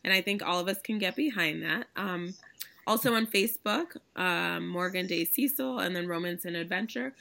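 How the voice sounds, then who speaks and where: A woman hosting a podcast in casual, chatty conversation, her voice raspy and hoarse from a recent illness. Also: lowish voice, moderate pace, sharp tone. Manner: chatty, laughing easily, enthusiastic. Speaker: podcast host. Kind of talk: casual chat.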